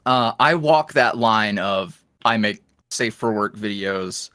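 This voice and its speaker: A man's voice: fairly low pitch, slow pace, bright, forceful tone, dry recording. A man speaking as a podcast guest in casual interview chat, with a wry, self-deprecating, rambling manner. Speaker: podcast guest, ASMR roleplay creator.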